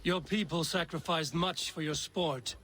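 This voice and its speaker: A man's voice: fairly high pitch, measured pace, bright, forceful tone. A man playing a stoic, blunt Norse warrior in a video game, speaking in terse, dry lines. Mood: stern. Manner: terse, plain-spoken, dry.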